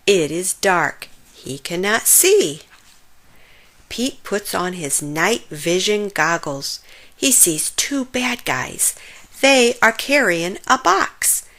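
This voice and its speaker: A woman narrating a children's picture book in an expressive, deliberate storytelling manner for young listeners. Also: mid-range voice. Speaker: read-aloud storyteller for children.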